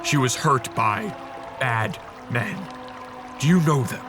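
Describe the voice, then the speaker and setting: Commanding vampire leader, grave, earnest, slow delivery, radio drama, music underneath. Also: male voice, mid-range voice, lively intonation. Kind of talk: serialized radio drama acting. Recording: loud music bed behind voice.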